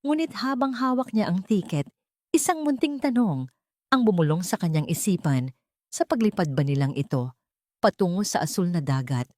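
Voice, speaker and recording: A woman's voice: lowish voice, animated, moderate pace, darkish tone, no echo. A woman with a Filipino accent narrating a dramatic story from a script.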